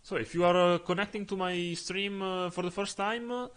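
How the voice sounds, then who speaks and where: A man from Italy with an Italian accent, commenting on his own football video game stream in English, his second language, pausing often with fillers as he searches for words. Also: high voice, a bit bright, smooth.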